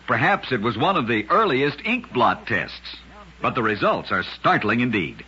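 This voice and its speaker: A man narrating scripted oddities as an old-time radio host, with a dramatic, emphatic delivery and deliberate pauses. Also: mid-range voice, very animated, sharp tone, husky. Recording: dull, lo-fi old radio recording.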